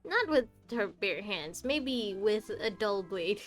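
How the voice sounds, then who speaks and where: A woman's voice: mid-range voice, expressive, takes it slowly. A woman streaming a video game with casual commentary, making wry asides and talking back to the characters. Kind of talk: casual live gameplay commentary. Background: game music.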